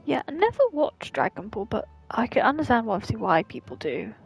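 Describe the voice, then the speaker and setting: Husky, creaky-voiced woman streamer. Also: fairly high pitch, big pitch swings, fairly quick. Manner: rambling, repeats herself. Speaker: live streamer. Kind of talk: casual chat while streaming a game. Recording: faint game music behind.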